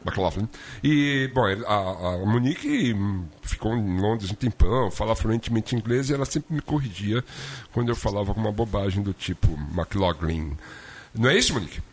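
Man, radio host, husky, animated pitch, playful teasing banter. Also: normal speed. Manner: playful, teasing, swears jokingly. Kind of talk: casual radio chat.

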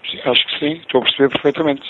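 A man from Portugal with a European Portuguese accent, a pizzeria owner talking on the phone in a brisk, friendly, obliging way. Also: medium pitch, fairly even intonation, background noise. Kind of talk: phone conversation. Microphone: telephone. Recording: muffled phone line.

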